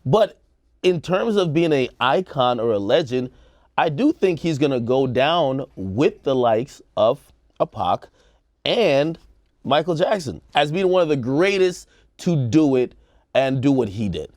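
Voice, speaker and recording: A man's voice: medium pitch, animated, measured pace, a little mellow, dry recording. A man with an American accent giving casual opinions as a talk show co-host, slangy and acting out quotes. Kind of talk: casual opinionated panel chat.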